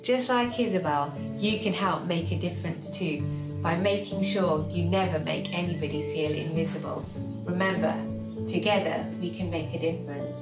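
A young woman, a teacher, reading a picture book to children in expressive, deliberate storytelling narration. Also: lowish voice, poor audio. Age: young adult. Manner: measured, deliberate storytelling delivery with pauses. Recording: music playing behind the voice. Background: clear background music.

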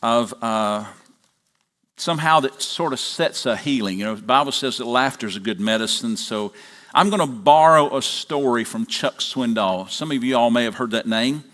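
Male American preacher, fifties, medium pitch, deliberate sermon delivery with pauses. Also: fairly bright tone. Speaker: lead pastor preaching. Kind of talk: sermon with prayer and storytelling. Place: large church auditorium, on stage at a lectern.

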